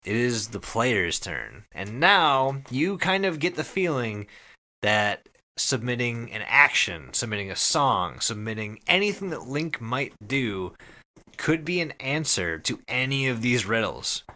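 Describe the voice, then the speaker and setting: A man hosting a podcast, telling a story casually to friends in an excited, conversational way with some swearing. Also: medium pitch, unhurried. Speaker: podcast host.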